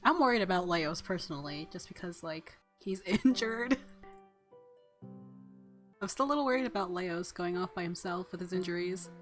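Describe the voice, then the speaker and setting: A woman's voice: on the low side, animated, normal speed, faint music behind. A woman hosting a let's play, reading story text and adding playful, casual asides. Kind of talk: narration with casual commentary.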